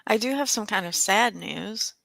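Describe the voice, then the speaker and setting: A middle-aged woman co-hosting a livestream, chatting casually in a halting, personal storytelling way. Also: medium pitch, gravelly, a bit bright, dry recording. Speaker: co-host of a book readalong livestream.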